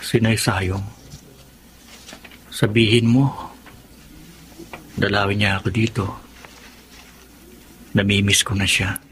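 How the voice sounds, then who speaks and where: A man's voice: on the low side, little pitch movement, slow, pauses often, a bit bright, a little hiss or hum, rough recording. An actor playing a troubled young man haunted by nightmares, frightened and pleading in a radio drama. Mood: shaken.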